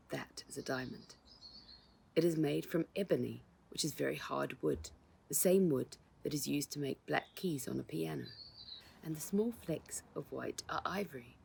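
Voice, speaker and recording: A woman's voice: low voice, creaky, a little mellow, a little hiss or hum. A woman voicing an elegant, gracious lady visitor in a storybook, chatty, genteel and confiding.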